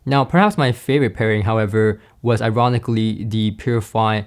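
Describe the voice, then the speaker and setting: A young man hosting a product review in a conversational, measured, explanatory way, recorded in a small treated studio room. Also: lowish voice. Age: young adult. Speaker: video host and audio equipment reviewer. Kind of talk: presenter-style product review to camera.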